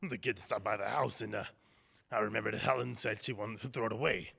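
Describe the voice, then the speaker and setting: Man acting shifty neighbour, radio drama, heavy gravel, tense sharp edge, evasive, halting. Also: fairly low pitch, steady tone, heavily acted. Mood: evasive, falsely casual. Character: shifty, nervous neighbour man lying defensively. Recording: distant, long hall reverb.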